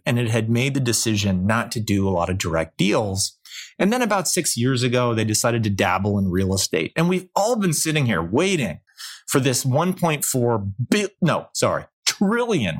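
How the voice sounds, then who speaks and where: A man co-hosting a podcast, chatting casually about investing, with halting restarts and quick laughs. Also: lowish voice. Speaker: podcast co-host.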